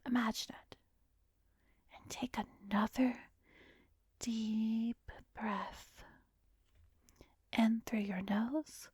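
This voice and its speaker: A very breathy, whispering female therapist, acted by a woman for an ASMR hypnosis roleplay. Her voice sits a little high and stays soft and coaxing. She guides slowly and soothingly, very close and dry on the microphone. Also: no room sound, full character voice. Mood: soothing, hypnotic. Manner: slow, soothing, measured guiding with pauses. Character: calm, coaxing female therapist, secretly a manipulative vampiress. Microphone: close microphone, dry recording.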